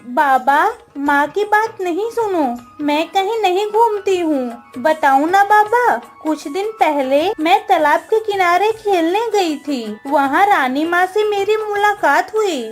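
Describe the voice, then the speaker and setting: A woman's voice: very high-pitched, lively intonation, slow, few pauses, exaggerated voice. A woman voicing an earnest, stubborn, tender-hearted young village girl in a Hindi cartoon story. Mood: earnest pleading. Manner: earnest, childlike. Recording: music under the voice.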